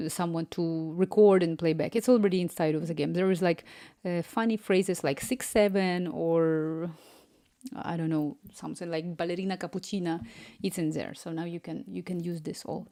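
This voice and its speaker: A woman's voice: on the low side, steady tone, normal speed, mellow. Ukrainian woman with a slight Spanish lilt. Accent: Ukrainian with Spanish influence. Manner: chatty, distracted, reads chat aloud, murmurs to herself. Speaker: live-streaming app developer. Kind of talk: casual live-stream chat while coding. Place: home room.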